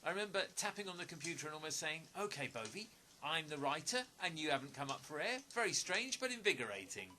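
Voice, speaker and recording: A man's voice: on the high side, big pitch swings, fast talker, bright, forceful tone, slight background noise, full character voice. A man acting in an audio play as an inquisitive, apologetic writer, hesitant and stammering. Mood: chatty. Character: nosy, awkward, apologetic writer, a stranger visiting.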